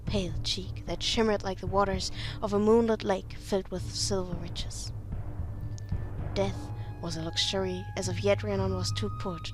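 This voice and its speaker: Female amateur reader with a non-native English accent, theatrical and grave delivery of purple prose, occasional stumbles, music underneath. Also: mid-range voice. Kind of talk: melodramatic dramatic reading of overwrought prose.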